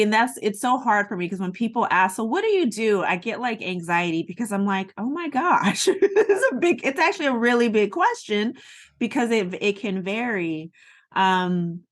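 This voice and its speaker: A middle-aged woman with an American accent, speaking as a podcast guest in casual, reflective, expressive conversation. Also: on the low side. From United States.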